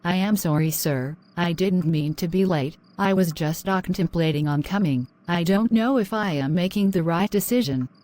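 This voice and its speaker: A woman's voice: fairly low pitch, moderate pace, hardly any pauses, warm tone, lightly acted. A young woman, acting a frightened student who pleads timidly. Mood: pleading. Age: young adult. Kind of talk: amateur drama acting.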